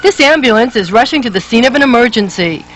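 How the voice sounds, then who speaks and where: A woman's voice: fairly high pitch, expressive, quick pace, a bit bright, poor audio. A woman reporter reading a scripted investigative news story with emphatic, dramatic delivery.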